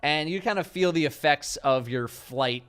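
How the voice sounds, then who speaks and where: A young man narrating as dungeon master, relaxed and hesitant, with many fillers. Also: on the high side, normal speed, smooth. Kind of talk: narrating a tabletop game.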